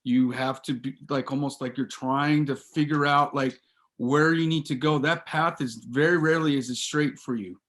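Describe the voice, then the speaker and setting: A man who runs a music company, answering a panel question in a halting way with many restarts. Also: medium pitch, steady tone, a bit bright.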